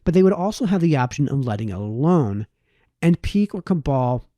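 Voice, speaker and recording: A man's voice: fairly high pitch, sing-song, brisk, mellow, no echo, clean studio sound. A man reading a formal essay aloud in the style of an audiobook narrator. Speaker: audiobook reader.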